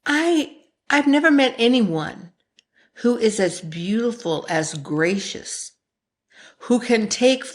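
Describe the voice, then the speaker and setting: A woman hosting a podcast in a conversational interview style, speaking with an effusive, emphatic and affectionate manner. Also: on the low side. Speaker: podcast host.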